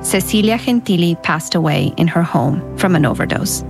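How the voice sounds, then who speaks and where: A woman radio host narrating a scripted news story in a measured, clear way. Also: on the low side, animated, over music.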